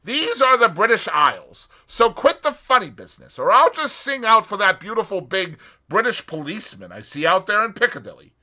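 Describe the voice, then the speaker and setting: Brief: man putting on a pushy American in his thirties, loud and raised, bright and forceful. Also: high-pitched, sing-song, brisk, hardly any pauses, pitched above the performer's own voice, heavily acted. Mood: blunt. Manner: loud, blunt and impatient. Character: brash young American man around thirty-five. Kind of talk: audiobook character voice.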